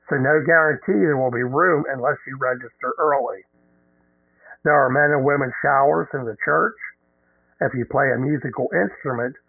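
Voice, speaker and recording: A man hosting a radio show who reads an announcement in a measured, deliberate way. He is losing his voice. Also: medium pitch, gravelly, breathy edge, no room sound. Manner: measured, deliberate reading with halting ad-libs. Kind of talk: reading an event announcement on air. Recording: narrow phone-line radio sound.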